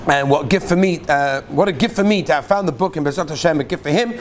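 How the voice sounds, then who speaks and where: Rabbi guy reading out letters to his class, pretty high voice, lively storytelling, faint hiss behind. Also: quick pace. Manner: animated storytelling, questions the audience. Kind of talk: reading listener stories aloud in a class.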